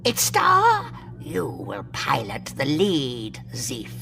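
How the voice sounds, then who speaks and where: A woman's voice: rumbling low, wildly swooping pitch, extremely slow, vocal fry, over music, heavily acted. A woman playing a vicious, imperious old alien witch queen in a theatrical sci-fi audio drama. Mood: scheming, imperious.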